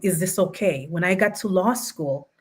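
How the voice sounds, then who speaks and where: Lowish-voiced woman with a foreign accent. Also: moderate pace, husky. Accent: non-native English. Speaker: panelist, attorney. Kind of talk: personal, reflective panel talk.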